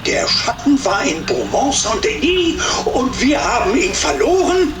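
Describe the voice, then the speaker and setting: A severe Nazi commander gives imperious orders in a war game cutscene. The voice is high and very animated, heard from a distance under strong music. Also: male voice, a little slow, heavily acted. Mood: angry, insistent. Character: commanding male Nazi officer villain, severe and driven. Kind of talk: dramatic game cutscene acting.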